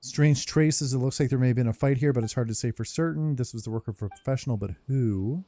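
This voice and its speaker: A man's voice: medium pitch, fast, warm tone, slight background noise. A man hosting a game playthrough, reading on-screen text aloud with hesitant, muttered reactions. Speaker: gaming video host.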